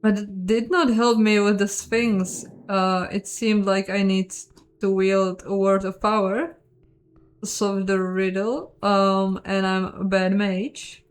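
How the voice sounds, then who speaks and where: A woman plays through a game and talks her thoughts out loud in a casual, self-deprecating way. Her pace is slow and her pitch sits mid-range for a woman. Soft music runs underneath. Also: level tone. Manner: chatty, self-deprecating, thinking aloud. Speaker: host of a game playthrough, voicing the dialogue. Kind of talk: casual let's play commentary with read-out dialogue.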